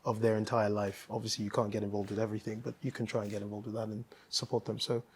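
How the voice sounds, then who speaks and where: A man from Nigeria, a guest in a casual interview, talking thoughtfully with many pauses and restarts. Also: lowish voice, little pitch movement, slightly rough.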